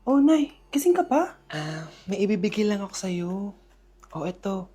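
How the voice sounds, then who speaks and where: A woman voicing a gentle, caring middle-aged mother in a comic skit, speaking low and soothingly. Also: big pitch swings, moderate pace, slightly rough, faint music behind. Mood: tender.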